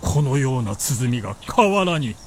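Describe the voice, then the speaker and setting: An actor playing an imperious, amused feudal Japanese warlord in a period radio drama, with commanding, archaic, lordly speech. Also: male voice, medium pitch, sing-song, a little slow, slight background noise.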